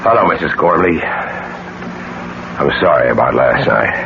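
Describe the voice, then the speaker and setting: A man acting in a 1970s radio mystery, playing a steely, determined man who speaks with deliberate, theatrical emphasis. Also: extremely low pitch, expressive, vocal fry, exaggerated voice. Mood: grave, explaining. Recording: dull, very noisy 1970s radio recording.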